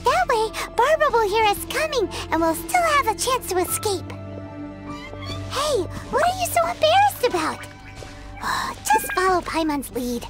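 A woman's voice: extremely high pitch, full character voice. A woman voicing a tiny, chirpy, childlike fairy companion in a video game, bold and mischievous. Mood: bold, calling out. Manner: speaks of self in third person. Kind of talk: video game character acting. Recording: music behind the voice.

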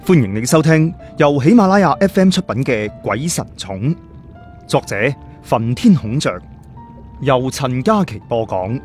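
Lively male audiobook storyteller over music. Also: medium pitch, a little slow. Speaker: audiobook narrator. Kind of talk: scripted storytelling narration.

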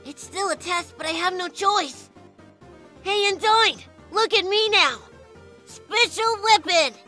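A woman voicing an eager young male goat monster in a comic dub, excitable and boyish. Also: very high voice, takes it slowly, soft background music, exaggerated voice. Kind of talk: amateur comic dub acting.

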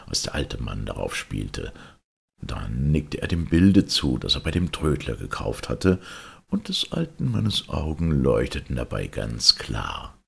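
Very deep, gravelly male storyteller. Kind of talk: expressive fairy-tale narration.

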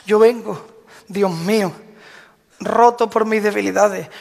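A man's voice: sits high, creaky. Man, solemn, declamatory, rhymed devotional recitation, long reverb. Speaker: Holy Week proclaimer. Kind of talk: recited devotional verse proclamation.

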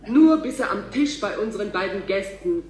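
An actress playing a polite, gushing TV studio announcer in a radio drama. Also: female voice, medium pitch, animated, moderate pace, a little mellow, lightly acted. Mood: gushing, instructive. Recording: echoey hall announcement.

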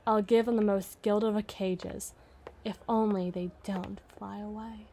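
A woman's voice: mid-range voice, little pitch movement, mellow, slight background noise, close to an everyday voice. A woman playing a rich, possessive young heiress who is condescending and doting, with a haughty, teasing manner, in an ASMR roleplay. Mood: brooding. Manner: haughty, teasing, drawn-out words. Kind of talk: ASMR roleplay, scripted monologue.

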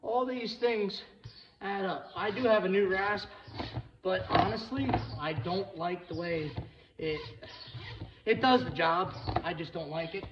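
A man's voice: high-pitched, measured pace. A man giving casual, folksy running commentary in short fragments as he works as a farrier in a barn. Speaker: farrier vlogger. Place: indoors in a horse barn. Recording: muffled, noisy barn with tool clicks.

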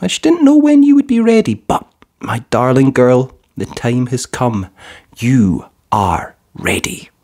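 A narrator acts out a gentle storybook mum who encourages her little girl. She speaks tenderly and solemnly, with lively, reassuring rises and falls. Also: mid-range voice, measured pace. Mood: earnest, loving.

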